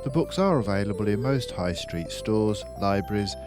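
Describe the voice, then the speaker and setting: A man's voice: fairly low pitch, expressive, moderate pace, over music. An older man narrating a children's storybook as its host, reading from a script.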